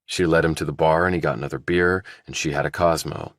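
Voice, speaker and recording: A man narrating a thriller audiobook, reading scripted prose and character dialogue in a deep voice. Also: steady tone, fast, fairly bright tone, dry recording, clean studio sound.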